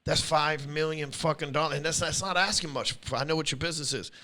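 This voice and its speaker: A man's voice: fairly high pitch, steady tone, fast talker, pushed and bright. A man in his forties hosting a podcast, talking bluntly and swearing freely as he lays out his views in casual chat. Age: 40s. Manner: blunt, profane, lecturing. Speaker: podcast host.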